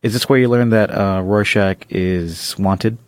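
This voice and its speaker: A man's voice: fairly low pitch, fairly even intonation, a little slow, dry recording. A man co-hosting a podcast in casual, conversational chat, reacting to and agreeing with his partner. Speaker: podcast co-host.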